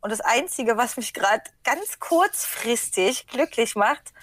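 A woman's voice: a little high, expressive, slow pace, fairly bright tone, no room sound. A woman chatting casually in an interview, with a slangy, blunt, informal manner. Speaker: band member being interviewed. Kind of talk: casual chat.

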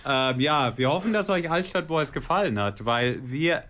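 A man's voice: fairly high pitch, lively intonation, normal speed, a bit bright. A man chatting casually and jokingly on the radio as a guest band member, sometimes in a mock-solemn way. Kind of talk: casual tipsy radio chat. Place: radio studio. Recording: clear background noise.

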